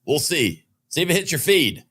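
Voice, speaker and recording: A man's voice: on the high side, sing-song, normal speed, fairly bright tone, a little hiss or hum. A man with a Southern US accent, hosting a business podcast and giving opinionated news commentary with joking asides from a studio. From Southern United States. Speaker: podcast host.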